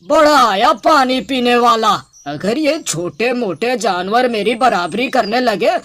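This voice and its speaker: A woman's voice: on the high side, animated, slow pace, fairly bright tone, dry recording. A woman voicing an arrogant, bullying male camel in a cartoon moral story, boastful and scornful. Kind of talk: animated animal moral story.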